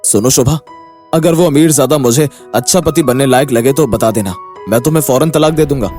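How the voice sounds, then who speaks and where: A man's voice: medium pitch, fairly quick, warm tone, faint music behind, lightly acted. A man voicing an earnest, serious village husband in a moral story cartoon. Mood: earnest, wounded.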